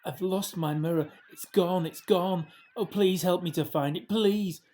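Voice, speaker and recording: A man's voice: high-pitched, mellow, very echoey, long reverb tail, voice pushed up. A man voicing a young, friendly, emotional mermaid girl in a storybook, with lilting, longing delivery. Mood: distraught, pleading. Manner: drawn-out, lilting invitations and pleas.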